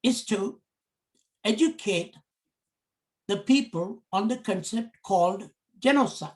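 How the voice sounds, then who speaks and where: A middle-aged man from Sri Lanka with a Sri Lankan accent, giving a prepared public talk in slow, deliberate phrases. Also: high voice. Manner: slow, deliberate, measured phrasing in short pieces. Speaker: commentator.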